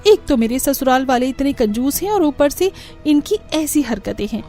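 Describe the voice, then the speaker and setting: A young newlywed daughter-in-law from a cartoon , acted by a woman, high in pitch. She sounds polite but exasperated, with music underneath. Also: animated, normal speed, mellow.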